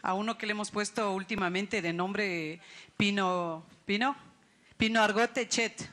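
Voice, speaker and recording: A woman's voice: medium pitch, unhurried, fairly bright tone. A woman with an Ecuadorian accent asking a spontaneous question from the audience at a public event.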